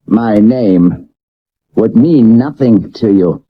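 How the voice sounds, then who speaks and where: A man acting a mysterious, menacing stranger in a 1970s radio drama, deliberate and evasive. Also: fairly low pitch. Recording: dull lo-fi vintage radio recording.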